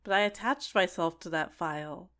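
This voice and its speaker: A woman's voice: lowish voice, moderate pace, a bit bright. A woman playing an obsessive, sentient dating-sim character in an ASMR roleplay, clingy and coaxing with menacing sweetness. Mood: smug, menacing. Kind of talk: scripted ASMR roleplay monologue.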